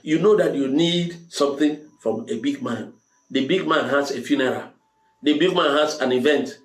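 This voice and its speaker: A man's voice: mid-range voice, moderate pace. A man giving a motivational monologue to his audience in an emphatic, preacherly way, repeating phrases to drive points home. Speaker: host of a motivational talk show. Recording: roomy echo, music underneath.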